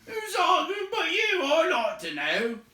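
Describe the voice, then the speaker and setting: A man voicing a loud, quarrelsome rustic troll who bellows indignantly in rough colloquial speech, in a read-aloud fantasy story. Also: very high-pitched, huge pitch swings, a little slow, roomy sound, far from the mic, pitched above the performer's own voice, exaggerated voice. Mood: bickering.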